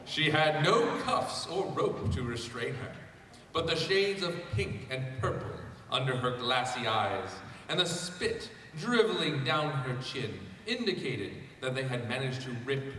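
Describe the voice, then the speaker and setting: A man reads a story aloud in a bright, forceful voice. He is picked up from a distance in a big, very echoey hall, at a convention panel. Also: mid-range voice, lively intonation, unhurried, slight background noise. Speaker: reader at a fan convention panel. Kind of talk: reading fan fiction aloud. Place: large convention panel room.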